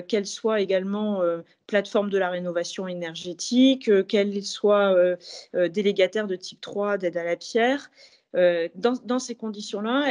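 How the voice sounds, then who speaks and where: Careful woman explaining policy, medium pitch. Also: darkish tone. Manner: careful, halting, searching for precise wording. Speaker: interviewed association representative. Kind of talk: formal policy explanation in an interview.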